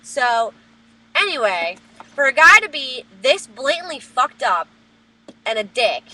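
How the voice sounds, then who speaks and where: Loud, high-pitched woman ranting. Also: sing-song, unhurried, poor audio. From New Jersey. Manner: loud, profane, heated ranting. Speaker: vlogger. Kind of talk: webcam rant. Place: at work. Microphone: webcam.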